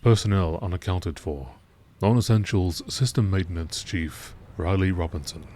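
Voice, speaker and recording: A man with a low-pitched voice reads in a measured, scripted way, like a nature documentary narrator. There is some light noise behind his voice. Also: darkish tone. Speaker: narrator reading in-game lore texts.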